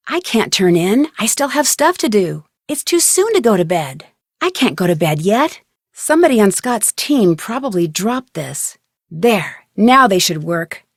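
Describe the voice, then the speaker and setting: A woman's voice: mid-range voice, no echo, clean studio sound. A woman voicing a plucky, curious young female detective in a video game, speaking earnestly and conversationally as if thinking aloud. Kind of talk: scripted video game voice acting.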